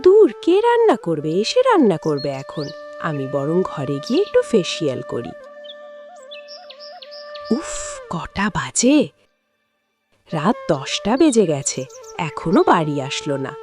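A woman's voice: on the low side, huge pitch swings, unhurried, long pauses, darkish tone, faint music behind. A woman playing a vain, lazy, bossy village wife in a cartoon, scolding and whining as she demands things. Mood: irritated, sulky. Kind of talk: exaggerated cartoon dialogue.

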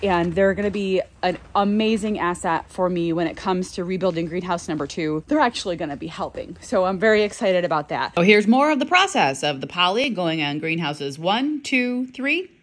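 A woman's voice: lowish voice, animated, normal speed, few pauses, a little hiss or hum. A woman in her thirties, a flower farmer, talking to her phone in a greenhouse in a chatty, upbeat way, explaining the work step by step. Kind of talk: casual vlog narration to camera. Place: inside a greenhouse. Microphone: phone held at arm's length.

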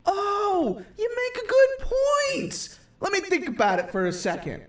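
A man voicing a scornful jester monster in a video game, with drawn-out, theatrical delivery. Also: very high-pitched, wildly swooping pitch, fairly bright tone, exaggerated voice. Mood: mock pondering. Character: scornful male jester monster, half of a pair. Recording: echoey, music behind.

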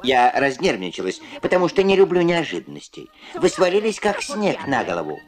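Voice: medium pitch, huge pitch swings, fairly quick, voice pushed up. A performer voicing a fussy, pompous female wagtail bird who preaches proverbs in a children's cartoon. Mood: preachy. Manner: pompous, sententious. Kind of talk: children's puppet cartoon dub. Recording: old film soundtrack with music.

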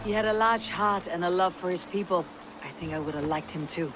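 A woman playing a stoic, battle-hardened Viking warrior in a video game, speaking in a husky, measured and grave way. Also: fairly low pitch, background noise, natural voice. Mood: wry, gentle. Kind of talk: dramatic video game acting.

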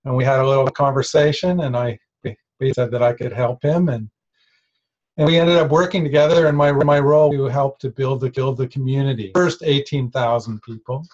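A middle-aged man introducing himself on a video call, halting and often restarting. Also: mid-range voice, fairly even intonation, a little mellow. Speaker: course participant. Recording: dull lo-fi video-call audio.

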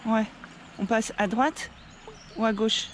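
A woman in a kayak on a river, making quick practical remarks and explaining herself in casual chat, recorded by an action camera on the boat. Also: a little high, steady tone. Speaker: kayaking companion. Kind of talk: casual chat while paddling. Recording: very loud river and wind noise.

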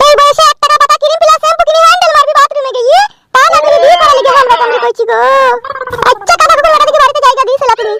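Scolding cartoon wife, shrill and very fast, crude complaints, dry close sound. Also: female voice, bright, forceful tone, no room sound. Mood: scornful. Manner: shrill nagging complaints, crude rural slang. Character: scolding, exasperated young wife in a village comedy cartoon.

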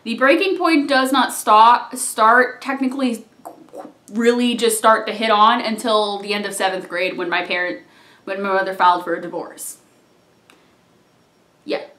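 A young adult telling a rambling personal story from a couch in a small living room, recorded by a camera a few feet away, trailing off into quiet asides. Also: medium pitch, fairly even intonation, a little slow, long pauses. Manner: chatty, rambling, drops to mumbles and asides. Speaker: vlogger. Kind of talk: casual storytime vlog. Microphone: camera on a tripod a few feet away. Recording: echoey room.

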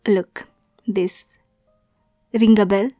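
A woman's voice: medium pitch, steady tone, mellow, no room sound, soft background music. A woman playing a young, obsessive yandere girlfriend kidnapper in an ASMR roleplay, cutesy and teasing with sweet menace. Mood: sweetly teasing. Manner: slow, cutesy, teasing, breathy asides.

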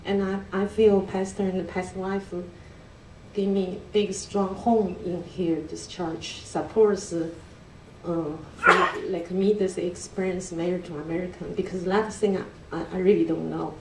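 A woman's voice: fairly low pitch, little pitch movement, moderate pace, slight background noise. Nervous Chinese-accented woman in echoey hall. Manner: hesitant, many fillers and restarts, nervous laughs. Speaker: church member giving a testimony. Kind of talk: halting personal testimony. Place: large church hall indoors. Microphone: handheld mic, picked up from a distance. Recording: echoey hall recorded from far off.

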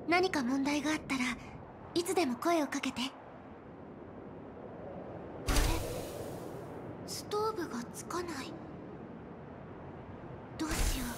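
Woman acting calm young explorer girl Lynx, high-pitched. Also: normal speed, pauses often, noisy background, lightly acted. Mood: worried, puzzled. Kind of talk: anime game voice acting.

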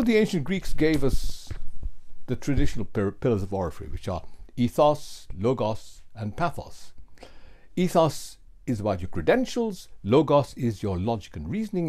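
Older man, dramatically varied, high-pitched lecturing voice. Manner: emphatic, theatrical delivery with deliberate pauses. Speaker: public speaking coach. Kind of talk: keynote lesson, rhetorical storytelling. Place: small home office with bookshelves.

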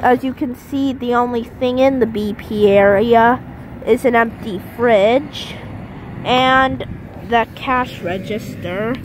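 A high-voiced woman narrates a casual walk-around video as she films. She speaks slowly and haltingly, often leaving sentences unfinished. The handheld outdoor recording has clear background noise. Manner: halting, trailing off mid-sentence. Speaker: amateur vlogger. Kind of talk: casual walk-through commentary. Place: outdoors in an empty parking lot. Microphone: handheld camera held by the speaker. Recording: noisy outdoor handheld camera audio.

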